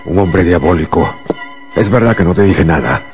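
A deep, volatile, self-justifying husband. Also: male voice, expressive, moderate pace, soft background music. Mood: bitter, self-justifying. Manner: pleading, theatrical, insistent. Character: agitated, self-justifying husband, by turns coaxing and bitter. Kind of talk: radio drama acting.

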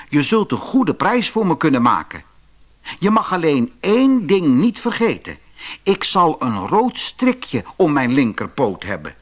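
A man's voice: on the high side, fairly bright tone, voice pushed up, full character voice. A man voicing a confident, eager young son in a storybook, instructing and sure of himself. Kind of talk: storybook character voice.

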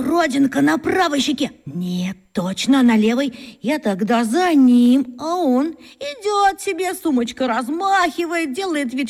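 Cast: actress as a bragging schoolboy in a radio play, raised pitch, husky and gravelly. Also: female voice, full character voice. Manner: bragging, rapid storytelling. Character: boastful schoolboy, chattering and excitable.

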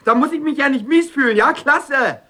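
A man's voice: very high voice, moderate pace, bright, forceful tone. An actor playing a lovesick, volatile young man in an audio drama, talking in a colloquial, sarcastic, self-deprecating way. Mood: bitter, furious. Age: young adult. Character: lovesick, volatile young male actor narrating his first heartbreak. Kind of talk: audio drama acting with first-person narration.

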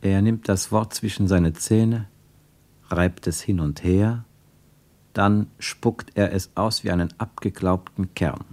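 An actor playing a reflective, literary narrator in a radio play, speaking deliberately. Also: male voice, low-pitched, fairly even intonation, a little mellow, full character voice. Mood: observant. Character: observant, literary male narrator of a debate.

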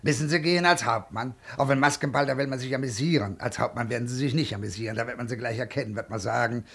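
An effusive male junk-shop salesman with a husky, creaky voice. He wheedles, flatters and repeats himself, forever pushing a bargain, in the manner of 1950s radio drama. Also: mid-range voice, quick pace. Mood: persuasive. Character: wheedling, fast-talking male second-hand clothes dealer. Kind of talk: 1950s German radio play acting.

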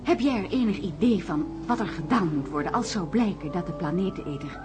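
A woman acting an inquisitive, practical colleague in a science fiction radio play. She speaks articulately with a lively, varied pitch, and music plays faintly behind her. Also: fairly quick, close to an everyday voice. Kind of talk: Dutch radio drama acting.

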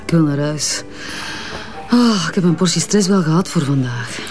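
A woman's voice: sits low, lively intonation, moderate pace, music in the background. A woman with a Flemish accent acting a tense, weary businesswoman in a radio drama. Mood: stressed, defensive. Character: tense, weary businesswoman shaken by a colleague's death.

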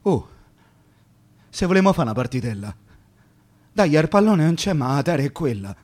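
An actor playing a teasing, down-to-earth working man in a radio drama, talking in colloquial, halting questions. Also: a little high, big pitch swings, darkish tone. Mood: mocking, playful. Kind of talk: theatrical radio drama dialogue.

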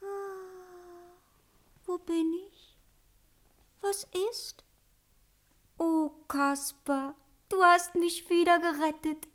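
A woman's voice: extremely high pitch, steady tone, drawn-out words, pauses often, a bit bright, exaggerated voice. An actress in a radio play fairy tale playing a vain, naive, childish young princess with a girlish, exclamatory way of talking. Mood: dazed, naive.

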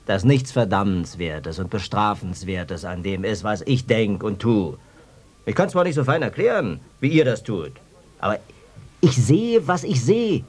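An actor playing a bitter, sardonic farmer who rants colloquially and ironically in a German radio play. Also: male voice, lowish voice, darkish tone, breathy edge, exaggerated voice.

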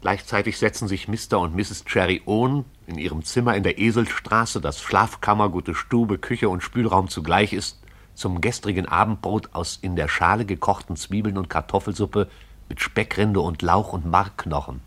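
A man narrating a literary radio play in a measured, descriptive storytelling way. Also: low voice, a bit bright.

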